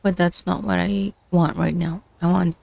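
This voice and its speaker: Woman, losing her voice, hesitant, lots of ums, lowish pitch, dry mic. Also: level tone, moderate pace, creaky, a little mellow, no echo. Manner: hesitant, many fillers and restarts, talks to herself. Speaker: livestream host learning machine learning. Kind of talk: casual screen-share livestream, thinking aloud.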